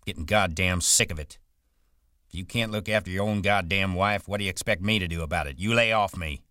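Casting: male reader as gruff, fair, commanding ranch man, very low voice, blunt rural talk, audiobook. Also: brisk, sharp tone, dry recording, clean studio sound. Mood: irritated.

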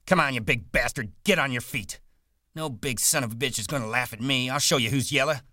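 A man voicing a small, pugnacious ranch bully with rough, combative rural American speech in a story reading. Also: medium pitch, fairly even intonation, quick pace, pushed and bright, no room sound, clean studio sound, voice pushed up, lightly acted. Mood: enraged. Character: small pugnacious hot-tempered young ranch bully, welterweight boxer.

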